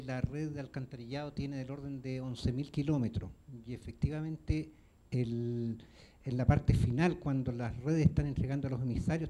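A man from Chile in the audience making a measured comment and asking a question, pausing with fillers. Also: medium pitch, dark tone, rough recording. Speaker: audience member. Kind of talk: question from the floor.

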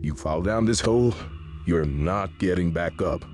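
A man voicing a gruff, fatherly middle-aged survivor, in video game lines. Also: sits low, a little slow, a little mellow. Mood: bossy, fatherly. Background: music.

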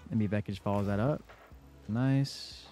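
A young man with a lowish, slightly stuffy voice talks through his own plays in a relaxed, self-coaching way. Quiet game music sits faintly behind him. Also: measured pace. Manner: laid-back, self-coaching play-by-play. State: congested from a cold. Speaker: gaming YouTuber. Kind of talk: casual gameplay commentary.

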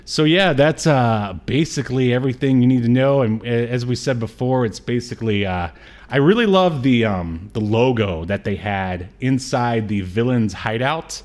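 A male podcast co-host with a mid-pitched voice chats casually about film. He rambles and pauses often, thinking aloud as he goes. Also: expressive, darkish tone. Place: indoor room on a video call stream.

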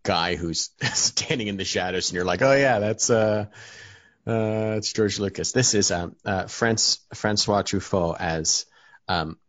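A man's voice: lowish voice, unhurried, a bit bright, no room sound. A man co-hosting a podcast, chatting casually and rambling with many fillers. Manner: rambling, hesitant, lots of fillers. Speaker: podcast co-host. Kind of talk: casual chat.

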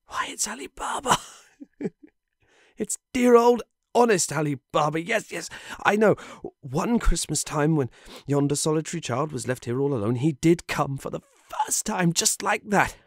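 A man's voice: fairly high pitch, animated, normal speed, no echo, studio quality. A man playing an old miser in an audio drama, fearful and wistful, stammering and exclaiming. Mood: excited, nostalgic.

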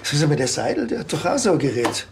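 An actor playing a dry, stubborn, laconic policeman with a deadpan, curt manner in a TV drama. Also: a little high, racing, vocal fry, heavy background noise.

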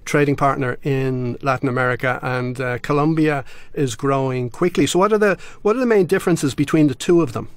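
A man's voice: medium pitch, a little fast, no echo. A man from Ireland hosting an interview, asking questions conversationally and often repeating words as he starts. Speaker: podcast host, interviewer.

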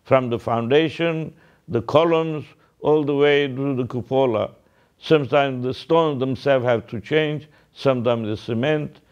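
A man in a medium-pitched voice. He delivers a formal church address solemnly, in short, deliberate phrases. The recording is a little dull and muffled. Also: a little mellow, clear, resonant tone. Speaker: archbishop addressing the faithful. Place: indoor office, seated at a desk.

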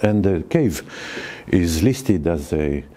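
A man in his sixties with a French accent, a cave owner giving a guided tour to camera, talking slowly and haltingly with many fillers. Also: low-pitched, lively intonation, dark tone. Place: forest outdoors, then inside a small cave.

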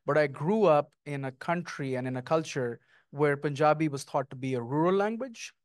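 Middle-aged male author, reflective and poetic, slightly high voice, handheld mic, dry. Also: moderate pace, no room sound. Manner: thoughtful, lyrical, with frequent ums. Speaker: guest author. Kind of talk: onstage author conversation. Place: small stage before an audience, indoors.

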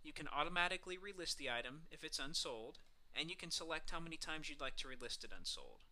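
A man's voice: a little high, animated, quick pace, sharp tone. A man narrating a screencast walkthrough, explaining each step in a casual, semi-scripted way. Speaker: software tutorial presenter.

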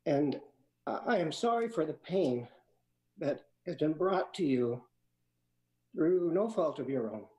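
A man's voice: on the high side, lively intonation, measured pace, long pauses, darkish tone, roomy sound, poor audio. A man who is a city councilor, speaking earnestly in a council meeting over a video call and searching for words. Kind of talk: formal meeting remarks.

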